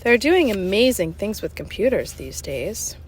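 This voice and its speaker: A woman reading a children's book aloud in an expressive, conversational narrating style. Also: medium pitch, a little slow, breathy edge. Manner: animated, conversational. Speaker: storybook reader.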